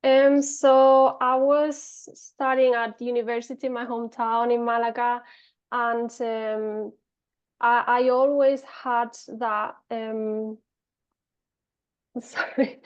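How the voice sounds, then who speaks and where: A woman's voice: on the high side, level tone, slow, smooth, dull audio. A Spanish-accented woman in her thirties, a podcast guest talking casually with many fillers and restarts. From Spain. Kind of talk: casual interview talk.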